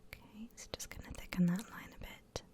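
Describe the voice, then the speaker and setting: A woman gently whispers an ASMR drawing tutorial in a breathy voice, explaining each step without hurry. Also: brisk.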